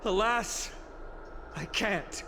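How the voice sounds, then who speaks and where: A man's voice: sits high, very slow, bright, forceful tone, a little hiss or hum, full character voice. A young man playing an earnest, humble village hero in a video game, speaking sincerely and politely. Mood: wistful. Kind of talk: scripted game voice acting. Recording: music behind the voice.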